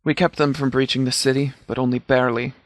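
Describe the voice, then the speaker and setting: A man voicing a stern, commanding sheriff who leads a wasteland militia in a video game, speaking in a formal, measured, authoritative way. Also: mid-range voice, little pitch movement, no echo, close to an everyday voice. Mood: sober briefing. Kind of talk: scripted video game dialogue.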